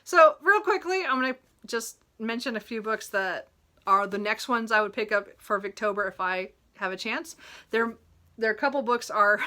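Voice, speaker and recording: A woman's voice: fairly high pitch, bright, forceful tone. A woman chatting casually about her reading list as a book vlogger, rambling and giggly, often correcting herself and apologizing. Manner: giggles often while talking.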